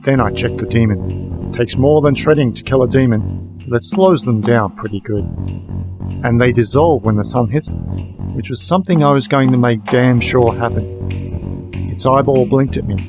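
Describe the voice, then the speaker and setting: A man's voice: medium pitch, moderate pace, vocal fry, music in the background, natural voice. A man playing a tough werewolf investigator, narrating his case in a wry, matter-of-fact hard-boiled radio play style. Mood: dry, weary.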